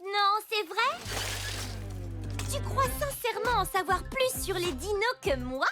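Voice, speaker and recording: A woman's voice: extremely high pitch, big pitch swings, measured pace, music in the background, heavily acted. A woman voicing a boastful, bossy little girl who shows off as a dinosaur expert in a children's cartoon dub. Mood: smug, boastful. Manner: smug, showy.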